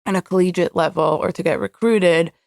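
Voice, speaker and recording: A woman's voice: fairly low pitch, a little fast, creaky, darkish tone, no room sound, studio quality. A young woman whose first language is Hebrew, a podcast guest talking candidly and reflectively about her past. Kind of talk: candid personal interview.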